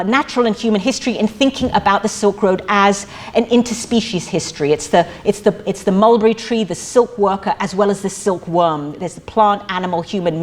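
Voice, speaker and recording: Woman giving a halting academic lecture. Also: mid-range voice, darkish tone. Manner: halting, many fillers and restarts. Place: museum auditorium.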